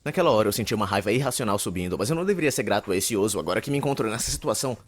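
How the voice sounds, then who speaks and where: A man's voice: on the low side, expressive, very fast. A young man with a Brazilian accent playing a neurotic, wordy university shut-in narrating his inner monologue in a dubbed anime. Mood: musing. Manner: wordy, pompous, theatrical.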